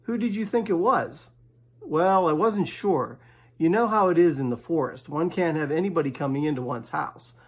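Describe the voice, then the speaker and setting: A man's voice: on the high side, moderate pace, pitched above the performer's own voice. A cautious storybook rabbit, played by a man reading aloud. He is fussy and polite, speaking in a proper, matter-of-fact way that turns a little stern. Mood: fussy, welcoming.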